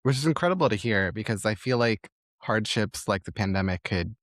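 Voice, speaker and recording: A man's voice: lowish voice, lively intonation, a little fast, fairly bright tone, no room sound, studio quality. A man hosting a podcast interview in a conversational, admiring and reflective way.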